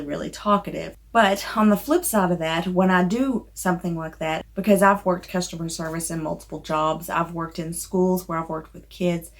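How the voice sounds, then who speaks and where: A Southern woman in her thirties talks straight to the camera in a loose, rambling vlog style, with a fairly low-pitched voice. A slight difficulty with the letter R surfaces now and then, and she comes across candid and a little self-deprecating. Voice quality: mild trouble saying R. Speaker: novelist vlogger. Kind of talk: casual talk to camera.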